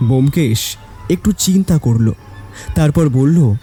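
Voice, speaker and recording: Guy narrating a story, really soft dark tone, higher pitch, music under it. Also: animated, measured pace. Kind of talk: scripted dramatic story narration. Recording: background music.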